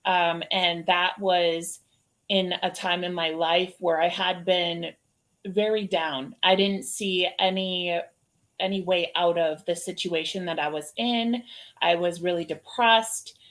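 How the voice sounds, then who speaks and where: Sincere, lower-voiced woman guest. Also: fairly even intonation, takes it slowly, fairly bright tone. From Wisconsin, USA. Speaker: coach. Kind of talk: casual guest interview.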